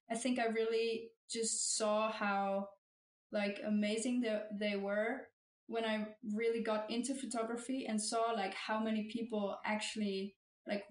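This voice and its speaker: Hesitant woman host, roomy sound. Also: mid-range voice. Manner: hesitant, many restarts and fillers. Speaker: interviewer host. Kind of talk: casual interview chat. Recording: roomy echo.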